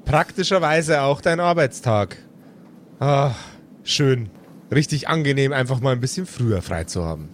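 Male game master for an improvised audio play: playful, casual narration with lively pitch. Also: moderate pace, slight background noise.

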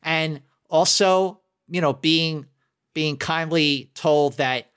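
A man talking casually as a guest film critic, halting and emphatic, often repeating his words. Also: a little high, a bit bright, clean tone. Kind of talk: casual conversational discussion.

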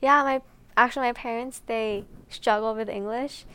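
A woman's voice: fairly high pitch, fairly even intonation, normal speed, fairly bright tone, smooth. A young American woman answering interview questions in a bubbly, giggly way, with frequent "like". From United States. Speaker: climber.

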